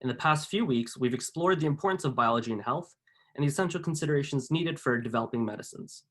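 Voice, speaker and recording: A man hosting a webinar and reading a prepared introduction at a brisk clip. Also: medium pitch, fairly bright tone. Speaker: webinar host.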